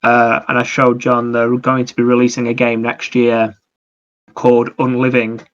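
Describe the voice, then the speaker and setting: A man's voice: mid-range voice, monotone, moderate pace, dull audio. A man chatting casually as a podcast co-host about video games, explaining things in a rambling, anecdotal way.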